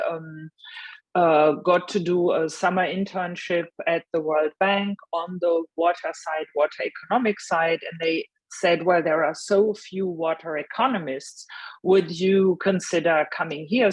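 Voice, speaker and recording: A German woman with a German accent, speaking as a panelist about her career path, pausing often with ums and restarts. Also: fairly low pitch, fairly even intonation, dry recording, rough recording. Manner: hesitant, many ums and restarts.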